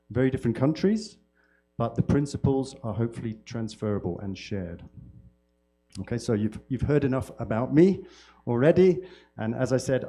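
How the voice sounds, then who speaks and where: A man from the United Kingdom giving a university lecture as a guest speaker, with a loud voice and a friendly, halting delivery full of fillers and restarts. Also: medium pitch, a little hiss or hum. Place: lecture hall. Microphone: handheld mic.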